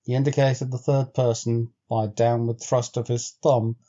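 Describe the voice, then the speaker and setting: A man narrating a classic adventure story as an audiobook, with measured, actorly delivery. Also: mid-range voice, level tone, warm tone, muffled recording.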